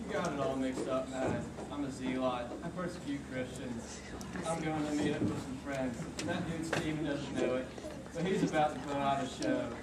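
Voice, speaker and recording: A man's voice: mid-range voice, fairly even intonation, normal speed, echoey room, close to an everyday voice. Chilled-out, slangy male zealot for a puppet show, played by a man; recorded far off in a noisy room. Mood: laid-back. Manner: slangy, chilled-out hippie talk. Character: laid-back, evasive young zealot Saul, male. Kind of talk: amateur church puppet show acting.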